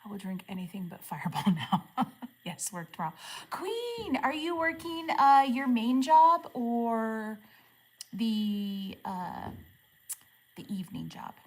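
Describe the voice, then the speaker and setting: A woman in her forties chatting casually with viewers on a livestream, asking friendly questions and murmuring softly when she reads comments. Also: on the high side, big pitch swings, slow pace. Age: 40s. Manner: drops to a soft murmur when reading comments aloud. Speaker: live streamer.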